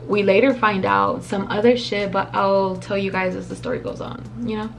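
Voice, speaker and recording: A young woman in her twenties telling a story to her camera in a casual, rambling way. She is profane, leans on lots of fillers, drops in Spanish phrases, and her voice is a little raspy from a dry mouth. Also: mid-range voice, steady tone, moderate pace, a little hiss or hum, rough recording. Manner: chatty, profane, rambling with many fillers and asides; mixes in occasional Spanish phrases. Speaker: vlogger.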